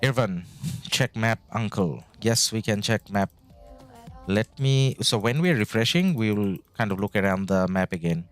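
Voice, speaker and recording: A man from Bangladesh, a native Bengali speaker, rambles casually while streaming a strategy game and answering chat. His voice sits fairly low, with soft music playing behind him. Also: animated, moderate pace, clear, resonant tone. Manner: chatty, rambling, reads out chat messages. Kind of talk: casual live-stream commentary. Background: background music.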